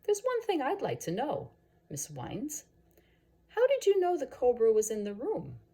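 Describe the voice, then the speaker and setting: Woman reading as a commanding, husky male naturalist. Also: sits high, huge pitch swings, a little slow, warm tone, voice pushed up, heavily acted. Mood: curious.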